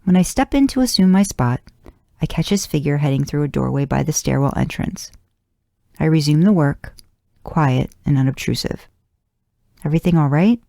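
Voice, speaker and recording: A woman's voice: deep voice, expressive, moderate pace, vocal fry, warm tone, no echo, studio quality. A woman reading a first-person story aloud as narration. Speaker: podcast host reading fan fiction aloud.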